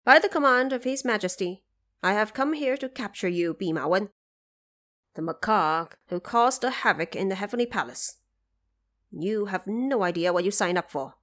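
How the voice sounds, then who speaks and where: A woman's voice: medium pitch, expressive, moderate pace, a bit bright, close to an everyday voice. A woman playing a proud, indignant young male warrior god in an audio drama, haughty and commanding. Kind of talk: audio drama character acting.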